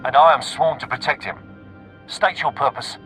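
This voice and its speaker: Actor as a forceful guard commander. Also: male voice, mid-range voice, moderate pace, soft background music, lightly acted, poor audio. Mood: challenging. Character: dutiful, alert male military guard commander. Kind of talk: video game cutscene acting.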